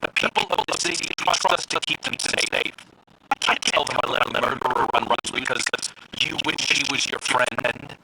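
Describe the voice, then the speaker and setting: A man's voice: medium pitch, moderate pace, very noisy, lightly acted. An actor playing a weary, principled male field commander in a video game, firm and plain-spoken. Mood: firm, exasperated. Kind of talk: naturalistic video game acting.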